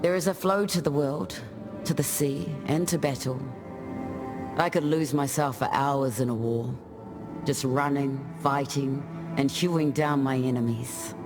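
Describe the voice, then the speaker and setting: A man voicing an easygoing island warrior in a video game, speaking in a measured, reflective and lyrical way. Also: medium pitch, fairly even intonation, over music, close to an everyday voice. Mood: carefree, wistful. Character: easygoing, graceful island warrior spirit who dances through battle. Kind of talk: scripted game character monologue.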